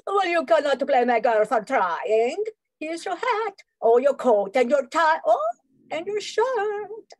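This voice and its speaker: A woman's voice: high-pitched, sing-song, slow pace, no echo, clean studio sound, full character voice. A woman playing a boastful, seductive Martian spy villain with theatrical, sultry delivery in a radio spoof. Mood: coy. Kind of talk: old-time radio spoof acting.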